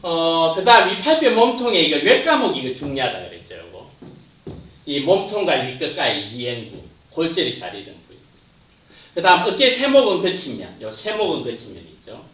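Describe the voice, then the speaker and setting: A man's voice: on the high side, big pitch swings, normal speed. A man giving an anatomy lecture, pointing at slides and naming parts, his voice dropping at the end of phrases. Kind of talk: classroom lecture. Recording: roomy, distant, muffled lecture capture.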